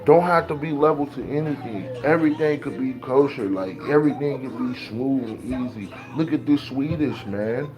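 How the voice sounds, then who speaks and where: A man's voice: mid-range voice, normal speed, hardly any pauses, over music, low-quality recording. A man from Ohio, ranting casually in loose, slangy talk and preaching his views. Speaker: beatmaker talking to camera. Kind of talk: casual rant.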